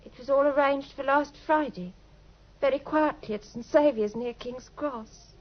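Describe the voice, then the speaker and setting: High-voiced, naive young woman. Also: a little slow. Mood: earnest. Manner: breathless, earnest, deferential, pours out her story. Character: naive, trusting, lovelorn young woman client, anxious and devoted. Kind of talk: old British radio drama acting. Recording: dull, lo-fi old radio recording.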